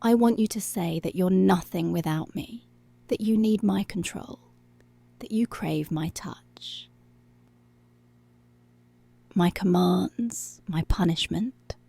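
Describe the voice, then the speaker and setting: A woman playing a dominant, seductive policewoman in an intimate ASMR roleplay, giving slow, deliberate, breathy commands that often drop to a whisper. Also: fairly low pitch, dark tone, dry recording, heavily acted. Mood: commanding, menacing. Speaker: ASMR voice actor.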